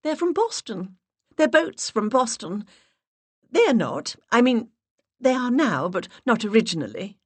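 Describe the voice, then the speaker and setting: A woman's voice: very animated, unhurried, no echo. Woman putting on a giggly, gushing English flirt. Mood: gushing, flirty. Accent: English, exaggerated. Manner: high, breathy, fluttery and effusive.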